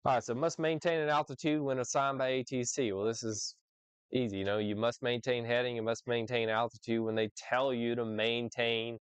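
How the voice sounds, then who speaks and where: A man's voice: medium pitch, clean tone, no echo, highs cut off. A man teaching informally as an instructor, reading out rules and explaining them conversationally, often checking in with "right?". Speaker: flight instructor leading a discussion.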